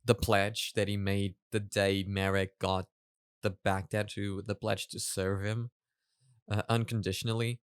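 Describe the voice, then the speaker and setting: A man hosting a reaction video, giving casual commentary in a halting way as he rephrases his explanations. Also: on the low side, level tone, clean tone, no room sound, studio quality.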